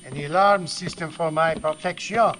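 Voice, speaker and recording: High-voiced formal scientist played by a man, noisy recording. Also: normal speed, a bit bright, natural voice. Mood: explaining. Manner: formal, careful phrasing. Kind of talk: old-time radio drama acting. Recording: live stage recording with clear noise.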